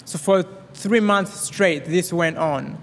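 A young man from Rwanda with a Rwandan accent, giving his personal testimony at a church service in a quick, excited way with many restarts. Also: sits high, vocal fry. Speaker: guest speaker. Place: church hall. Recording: long reverb of a hall.

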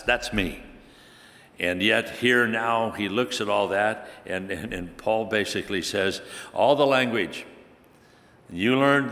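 A man from Hungary, preaching a sermon with halting restarts and emphatic scripture quotes. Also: on the low side, pushed and bright. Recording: long hall reverb.

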